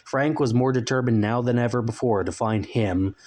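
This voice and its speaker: A man reads a story aloud as narrator. His voice is mid-pitched, and the delivery is scripted and dramatic, like an audiobook. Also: normal speed, a little mellow.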